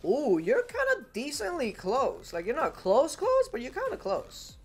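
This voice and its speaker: A man's voice: very high-pitched, wildly swooping pitch, unhurried, husky, faint music behind. A young man with a Spanish accent, his first language Spanish, chatting casually with viewers during a live game stream and often repeating phrases. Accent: Spanish-speaking. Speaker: gaming streamer. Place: home room at a desk.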